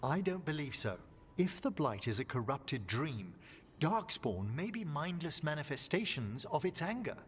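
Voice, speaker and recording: An actor playing a thoughtful, scholarly mage in a video game, speaking in a careful, analytical way. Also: male voice, on the high side, very animated, moderate pace, slight background noise. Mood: pensive. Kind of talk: dramatic video game dialogue. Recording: faint music behind the voice.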